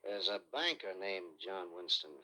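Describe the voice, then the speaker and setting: An actor playing a cynical private detective in old radio noir, terse and sardonic with a rough, husky voice. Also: male voice, sits low, big pitch swings, a little slow, pushed and bright, exaggerated voice. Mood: sardonic, probing. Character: cynical, curt hard-boiled male private detective. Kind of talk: 1940s radio noir acting.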